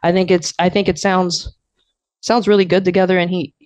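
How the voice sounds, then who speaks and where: Young man from Arizona, fairly high voice, laid-back rambling chat, dry close sound. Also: flat delivery, moderate pace, dry recording. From Arizona, USA. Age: 20s. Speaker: guest producer and emcee. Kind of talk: casual podcast chat.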